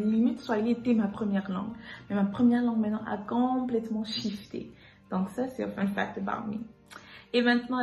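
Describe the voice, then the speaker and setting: A woman in her twenties from Congo, talking casually as a guest about lessons from her year, relaxed and slipping into English phrases now and then. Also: medium pitch, roomy sound. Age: 20s. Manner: relaxed, mixes in English phrases, laughs easily. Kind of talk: casual testimony to camera.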